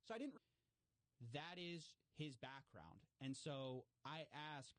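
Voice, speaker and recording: A man's voice: mid-range voice, lively intonation, takes it slowly, a bit bright, no echo. Man, mid-range voice, casual explainer, conversational storytelling, interview guest, dry studio sound. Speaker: podcast guest, investigative journalist.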